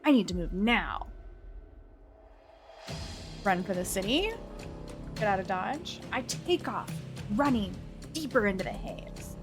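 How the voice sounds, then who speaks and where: A woman reading a visual novel aloud as a game streamer, with expressive, theatrical delivery. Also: mid-range voice, takes it slowly, long pauses, over music. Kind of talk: dramatic narration of a visual novel with casual asides.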